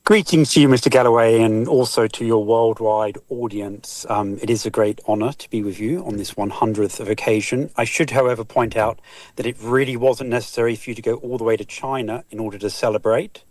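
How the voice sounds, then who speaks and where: A man calling into a talk show, giving a formal and measured report with many hesitations. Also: mid-range voice, steady tone, a little mellow, no echo. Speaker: regular caller.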